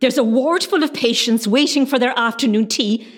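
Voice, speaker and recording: A woman playing a stern, bossy ward nurse in a comedy radio play, with a scolding, sarcastic, deliberate manner. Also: fairly high pitch, close to an everyday voice.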